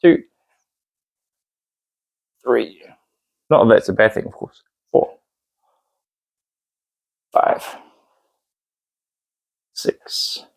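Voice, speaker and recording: A man's voice: on the low side, expressive, extremely slow, vocal fry, long pauses, low-quality recording. A man with a New Zealand accent demonstrating a home workout, chatting encouragingly and counting reps aloud while he exercises. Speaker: fitness instructor.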